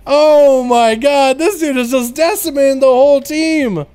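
A man with a slight Russian accent giving excited, fast play-by-play commentary on a video game match, with loud outbursts at big plays. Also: extremely high pitch, faint music behind. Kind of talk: casual esports commentary.

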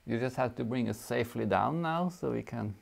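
A man's voice: mid-range voice, a little fast, vocal fry. A middle-aged man with a Norwegian accent co-hosting a knitting channel, making joking asides at home to a table camera. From Norway. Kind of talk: casual chat to camera. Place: living room at home.

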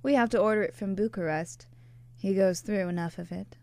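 A woman's voice: medium pitch, brisk, darkish tone, no echo, natural voice. A woman playing a plain-spoken, tart young American barmaid in radio drama dialogue. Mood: matter-of-fact.

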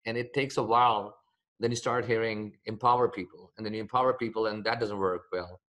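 A man's voice: on the low side, fairly even intonation, fairly quick, fairly bright tone, poor audio. A middle-aged man from India with an Indian accent, a company founder answering interview questions over a video call, hesitant with many fillers and restarts. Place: home office with bookshelves, window behind.

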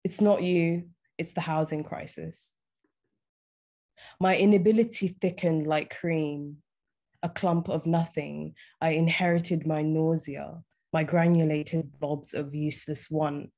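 A young woman poet reading her poems aloud in a measured, deliberate way. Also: deep voice, level tone, dark tone. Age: young adult. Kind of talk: poetry reading. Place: small indoor room, on a video call.

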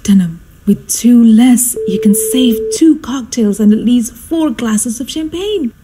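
A woman's voice: on the high side, animated, measured pace, background noise. A woman playing a fussy, bossy society hostess and mother in an amateur radio drama, imperious and dramatic. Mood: brisk, commanding.

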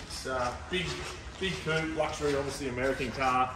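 A man hosting a casual car showroom walkthrough, talking enthusiastically with relaxed fillers. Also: medium pitch, brisk. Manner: laid-back, enthusiastic car talk with fillers. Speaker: car dealer host. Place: large indoor car showroom. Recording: roomy reverb, mic far off, background noise.

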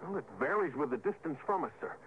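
A man's voice: fairly high pitch, animated, quick pace, natural voice. An eager male junior spaceship officer, played by an actor in old radio drama. He sounds earnest and deferential, with urgency. The recording is tinny and narrow, with a faint hiss. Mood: alarmed. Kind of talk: 1950s radio drama acting.

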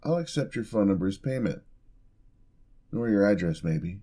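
A man voicing a flirty yandere husband in an ASMR roleplay, smooth-talking and teasing with a playful menacing edge. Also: on the low side, lively intonation, moderate pace, mellow.

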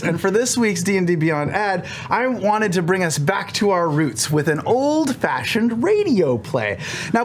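A man around his forties doing an animated, comedic sponsor read live among friends in a studio. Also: high-pitched. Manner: animated, performative. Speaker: cast member presenting a sponsor segment. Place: studio set, indoors. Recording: clear background noise.

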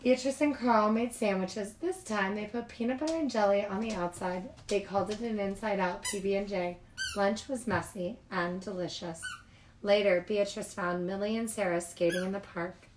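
A woman reading picture books aloud to young children like a childcare teacher, expressive and informal. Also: medium pitch, normal speed, noisy background.

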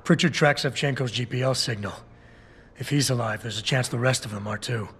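An actor playing a guarded security chief in his thirties in a video game, clipped, dry and deadpan. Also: mid-range voice, a little fast, a little hiss or hum, natural voice. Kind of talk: video game cutscene acting.

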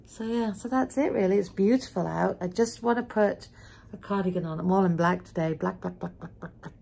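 A woman's voice: mid-range voice, fairly quick, gravelly, a little mellow, breathy edge. A middle-aged woman chatting casually to viewers in a rambling way, recorded at home in a living room on a camera at arm's length. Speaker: vlogger.

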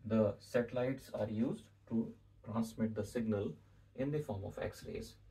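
Gravelly Indian man teaching, slightly distant. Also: fairly low pitch, warm tone. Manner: deliberate, repeats phrases for emphasis. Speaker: physics teacher. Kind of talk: explanatory whiteboard lesson. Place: small indoor room with whiteboard. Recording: distant microphone in a room.

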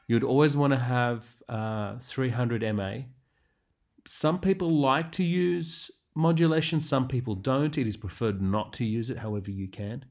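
A man's voice: mid-range voice, darkish tone. A man lecturing on radiology in a didactic way, stressing key terms as he narrates prepared slides.

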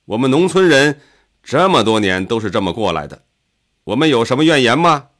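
A man's voice: fairly low pitch, expressive, unhurried, fairly bright tone. A man voicing an indignant, plain-spoken farmer in an audiobook, scolding with rhetorical questions. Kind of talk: audiobook character voice.

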